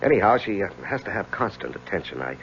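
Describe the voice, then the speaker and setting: An actor playing a troubled middle-aged lawyer in a 1970s radio drama, speaking in a halting, confessional way. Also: male voice, fairly low pitch, very animated, a bit bright, a little hiss or hum. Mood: hesitant. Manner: halting, hesitant, stammering. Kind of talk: 1970s radio drama acting, narrating and in scenes. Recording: dull lo-fi 1970s radio, music underneath.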